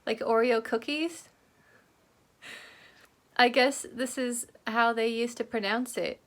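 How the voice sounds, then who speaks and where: High-voiced American woman reacting casually. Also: fairly bright tone. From Oregon, United States. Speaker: host of reaction video.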